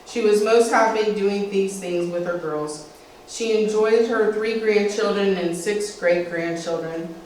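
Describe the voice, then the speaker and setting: A woman's voice: mid-range voice, a little slow, darkish tone. A middle-aged woman shares memories in an informal storytelling way. Her voice rings heavily around a small hall and is picked up from a distance, with faint noise behind it. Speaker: speaker sharing family memories. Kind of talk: informal storytelling at a community talk. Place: small meeting hall, indoors.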